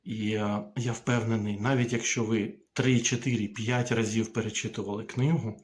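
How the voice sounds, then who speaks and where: A man speaking as an author in an informal recorded message, hesitant and pausing between short phrases. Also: fairly low pitch, level tone, a little hiss or hum. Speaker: book author.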